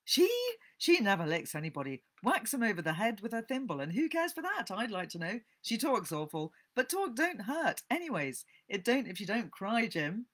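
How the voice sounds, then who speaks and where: A reader doing a cocky storybook schoolboy, pitched right up, wheedling and brushing off worries. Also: sing-song, normal speed, fairly bright tone. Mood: cocky, persuasive. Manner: coaxing, dismissive.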